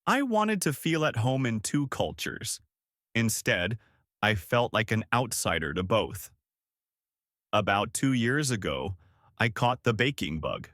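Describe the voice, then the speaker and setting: A man reading a personal essay aloud in a steady read-aloud delivery. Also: lowish voice, unhurried, no room sound, clean studio sound. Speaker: essay reader.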